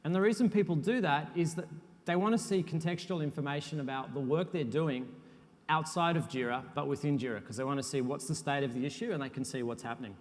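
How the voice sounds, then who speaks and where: A man presenting a technical talk to a conference audience. His voice sits a little high for a man, and it carries a long reverb from the hall. He speaks in a relaxed, self-deprecating way, with frequent uhs. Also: fast talker. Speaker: conference speaker, software architect.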